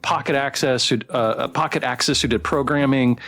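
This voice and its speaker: A man hosting a casual interview, chatting in a halting, rambling and encouraging way. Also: mid-range voice, steady tone, fairly bright tone. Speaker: interviewer, stream host.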